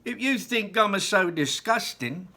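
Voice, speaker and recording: A man doing a brash loud young boy. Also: high voice, lively intonation, a little slow, voice pushed up, exaggerated voice. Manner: blunt, loud, clipped. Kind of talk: storybook read-aloud.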